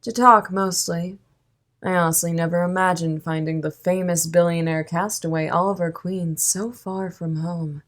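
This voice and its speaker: Audio drama villainess, female actor: composed crime boss, lowish voice, courteous, playful menace. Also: fairly even intonation, lightly acted. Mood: coolly menacing. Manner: polite, measured, coolly amused formal speech.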